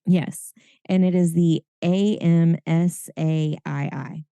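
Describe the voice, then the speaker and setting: A hoarse woman, co-hosting a podcast in casual, chatty talk full of fillers. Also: fairly low pitch, steady tone, slow, mellow, no room sound. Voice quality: raspy. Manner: chatty, lots of fillers and laughs. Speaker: podcast co-host. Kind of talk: casual chat.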